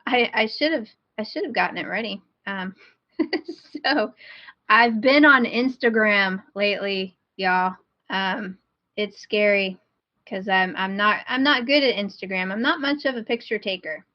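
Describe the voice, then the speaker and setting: A woman's voice: medium pitch, unhurried, no echo, dull audio. A woman co-hosting a podcast in casual, informal, self-deprecating chat. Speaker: podcast co-host.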